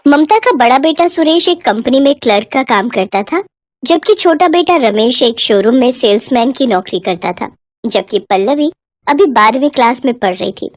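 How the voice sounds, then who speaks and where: A woman narrates a scripted story in a high-pitched voice. The recording is dry and close, with no room sound. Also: animated, normal speed, no echo.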